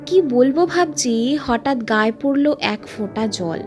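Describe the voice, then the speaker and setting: A woman's voice: high voice, lively intonation, unhurried, background noise. A woman storyteller giving a dramatic scripted narration of a horror story.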